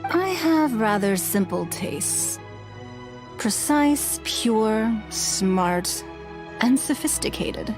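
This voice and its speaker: A woman voicing a refined, dignified leader in a video game, speaking in a measured, precise way. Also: a little high, animated, music in the background. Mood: composed.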